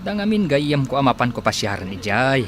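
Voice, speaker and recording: Casting: a deferential, friendly male errand-runner in radio drama, medium pitch, very animated delivery. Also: fairly quick, a little hiss or hum. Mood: friendly, inquiring. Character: deferential male aide doing detective errands, friendly when asking around. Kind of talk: Filipino radio drama acting.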